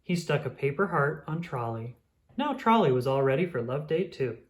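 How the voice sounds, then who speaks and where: Very animated children's storybook narrator. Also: mid-range voice, normal speed. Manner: clear storytelling for young children. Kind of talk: expressive scripted read-aloud narration.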